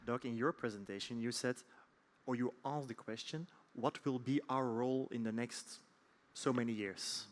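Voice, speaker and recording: A man's voice: medium pitch, normal speed. A man moderating a panel discussion, hesitant, with many ums and restarts as he puts his questions.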